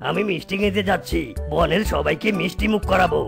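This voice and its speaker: A man's voice: high-pitched, fast, gravelly, soft background music. A man voicing a naive, meek animal husband in a comic cartoon, with an exaggerated hapless delivery. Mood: cheerfully clueless.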